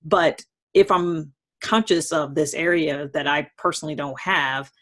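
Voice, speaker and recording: A woman with a husky voice speaking as the host of a conversational one-on-one discussion. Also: deep voice, measured pace, a bit bright. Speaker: host of a personality-type dialogue series. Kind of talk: conversational interview.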